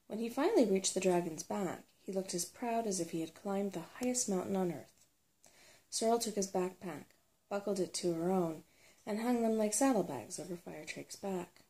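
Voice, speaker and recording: A woman reads a story in a hushed ASMR manner. Her voice is dark and relaxed with plenty of fry, and her delivery is soothing. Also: mid-range voice. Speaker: narrator.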